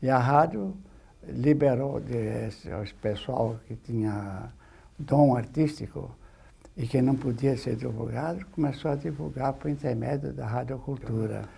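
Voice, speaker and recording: A Brazilian man speaks in a gravelly, mellow voice at a medium pitch. He reminisces as an interviewee in plain words, halting and repeating himself. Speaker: documentary interviewee.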